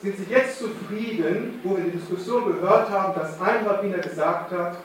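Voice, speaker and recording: Man, high voice, audience question, insistent, far from mic, echoey hall. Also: moderate pace, a little hiss or hum. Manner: indignant, insistent. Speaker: audience member asking a question. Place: large hall at a community event.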